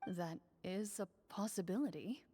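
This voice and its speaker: A woman playing a composed, formal game master in a video game, speaking in a measured deadpan. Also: mid-range voice, close to an everyday voice. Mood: matter-of-fact. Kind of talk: video game voice acting, scripted narration. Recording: game audio with music behind.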